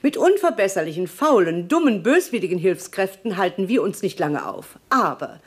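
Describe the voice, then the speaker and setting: A woman's voice: mid-range voice, very animated, normal speed, husky. An actress playing a self-assured progressive lady speaking emphatically in a radio play. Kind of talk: radio play acting, formal speech.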